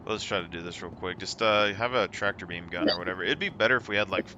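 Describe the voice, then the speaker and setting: A man's voice: low-pitched, fast talker, bright, forceful tone, muffled recording. A man streaming a game, chatting casually with a friend in an easygoing, rambling way. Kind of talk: casual gaming chat. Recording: noisy game audio behind. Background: game sounds and ship ambience.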